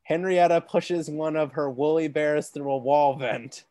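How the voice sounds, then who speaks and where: A man with a deep voice reading stage directions aloud during a comedy script read-through. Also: steady tone, unhurried, mellow, no room sound.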